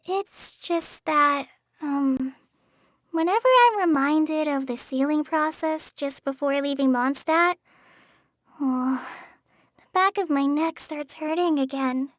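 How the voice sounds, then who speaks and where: A woman's voice: sits high, no echo, clean studio sound. A woman voicing a shy, earnest teenage girl in a video game, hesitant and apologetic in the way she talks. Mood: uneasy. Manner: hesitant, apologetic, soft-hearted, halting with stammers. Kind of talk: video game character voice lines.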